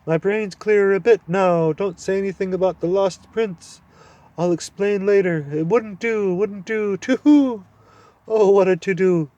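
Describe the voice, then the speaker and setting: Man playing a flustered owl, hoots, way higher pitched, loud, dry storybook read. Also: measured pace, dry recording, highs cut off. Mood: fretful. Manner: hooting, fussy, straining to be loud. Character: flustered hooting old male owl courtier. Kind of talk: storybook audiobook reading.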